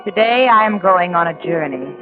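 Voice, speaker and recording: A haunted, dread-filled woman voiced by an actress confides gravely in a theatrical 1940s radio play. The sound is narrow and muffled like an old broadcast, with music clearly playing underneath. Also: lowish voice, expressive, normal speed, natural voice. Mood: haunted, fearful. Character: haunted woman dreading reunion with a past acquaintance. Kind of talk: 1940s radio drama first-person narration.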